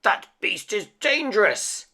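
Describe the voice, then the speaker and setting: A man voicing an aggressive storybook villain who rouses a mob, loud and threatening. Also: high-pitched, very animated, slow, voice pushed up, full character voice. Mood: menacing. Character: aggressive, rabble-rousing male villain.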